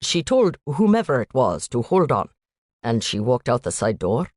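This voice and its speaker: A woman doing a soft-spoken young male student. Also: very deep voice, sing-song, moderate pace, no room sound, clean studio sound, pitched below the performer's own voice, full character voice. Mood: concerned. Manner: soft, polite, questioning lilt.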